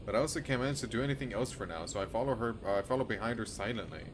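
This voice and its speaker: A man's voice: on the low side, very fast, noisy background. A man streaming a game, reading a visual novel aloud and adding casual commentary.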